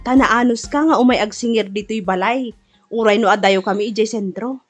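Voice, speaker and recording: A woman's voice: fairly high pitch, fairly quick, faint music behind, lightly acted. A woman acting a friendly, chatty insurance client in an Ilocano radio drama, appreciative in manner. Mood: friendly, grateful. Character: friendly, talkative female insurance client, a wife.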